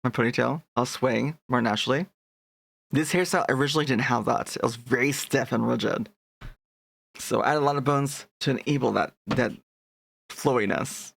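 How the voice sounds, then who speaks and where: A man's voice: mid-range voice, moderate pace, vocal fry, fairly bright tone. A young man chatting casually on a live stream, rambling and restarting his sentences as he greets his chat.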